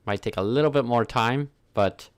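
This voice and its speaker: A man's voice: medium pitch, moderate pace, clean tone. A man hosting a livestream, chatting casually and thinking aloud in an offhand way as he works. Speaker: livestream host, 3D artist. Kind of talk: casual livestream chat while working.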